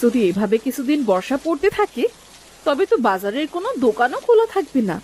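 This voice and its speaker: Woman acting a high-voiced fretful village mother. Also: fast talker. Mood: exasperated nagging. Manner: nagging, exasperated. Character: worried, scolding village wife and mother. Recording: steady rain noise behind voice. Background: rain.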